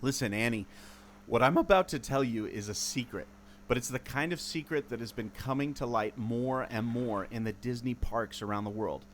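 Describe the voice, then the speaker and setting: A man's voice: medium pitch, normal speed, close to an everyday voice. A man playing a resourceful, know-it-all former mariner who explains things emphatically in a radio adventure. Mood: intrigued, secretive. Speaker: podcast host acting in audio drama. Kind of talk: amateur radio adventure acting. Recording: noticeable ambient background noise.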